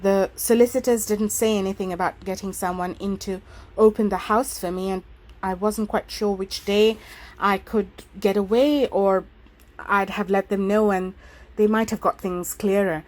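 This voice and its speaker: A woman voicing a polite young woman of twenty-seven who speaks quickly and apologetically. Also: medium pitch, steady tone, darkish tone, close to an everyday voice, poor audio. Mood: placating.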